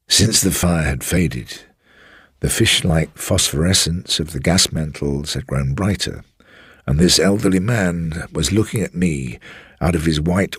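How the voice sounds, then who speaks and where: Deep, very husky English male storyteller. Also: a bit bright, dry recording, clean studio sound. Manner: measured, wry, dramatic. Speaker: story reader. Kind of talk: literary scripted narration of a ghost story.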